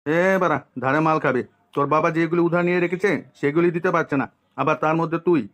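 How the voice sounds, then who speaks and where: A grumpy, coarse village man from a comedy cartoon, acted by a man. His voice is highish and bright, and he fires off exasperated, sweary lines very fast. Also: fairly even intonation, no room sound, muffled recording, close to an everyday voice. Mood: gruff, defiant. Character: grumpy, foul-mouthed village father and shopkeeper men. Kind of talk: comic cartoon dialogue.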